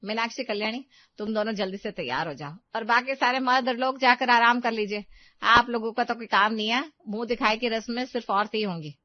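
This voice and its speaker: A woman's voice: fairly high pitch, brisk, long pauses, dry recording, natural voice. A woman voicing a bossy, traditional older mother-in-law in a cartoon story, scolding and ordering the family about. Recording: dull, dry, set back from mic.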